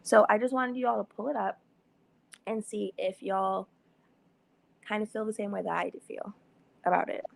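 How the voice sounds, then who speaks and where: A woman talks casually on a live panel call, with a gravelly, fry-laden edge to her voice. She explains her point at length and keeps acting out what she was thinking. Her pitch sits in the ordinary middle range. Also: steady tone, moderate pace, long pauses. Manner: chatty, explains at length, sprinkles in quoted thoughts. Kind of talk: casual live-stream chat.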